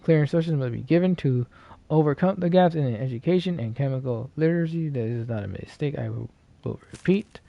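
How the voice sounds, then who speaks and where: A man livestreaming a video game in a casual, low-key way, muttering to himself and reading text aloud. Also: fairly quick, gravelly, few pauses, dry recording. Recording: dull, slightly noisy stream audio.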